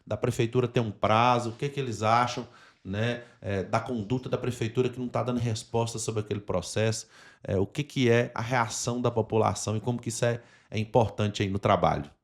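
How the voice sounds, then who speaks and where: A man's voice: medium pitch, steady tone, fairly quick. A man with a Brazilian accent hosting a conversational podcast, explaining things in formal, legal-sounding vocabulary. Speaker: podcast host.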